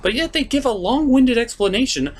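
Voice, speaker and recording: A man's voice: very high voice, animated, fairly quick. A man hosting a comedic review, narrating from a script with sarcastic, mock-exasperated asides. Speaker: host of a comedic cartoon review show.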